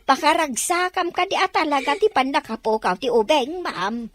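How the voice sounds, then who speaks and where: A woman acting a chatty, concerned friend in a melodrama, with a Filipino accent and expressive, exclaiming delivery. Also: sits high, moderate pace, slight background noise. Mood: anxious.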